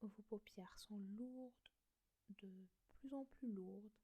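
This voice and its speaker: A woman in a soft breathy whisper, gently guiding a meditation close to the mic. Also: mid-range voice, drawn-out words. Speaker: meditation guide.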